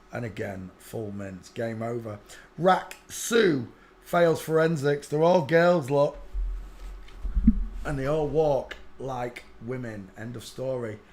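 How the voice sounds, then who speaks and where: A man's voice: medium pitch, darkish tone, a little hiss or hum. A man hosting a livestream, rambling to himself as he comments on photos. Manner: mutters to himself while working, trails off into asides. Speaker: livestream host.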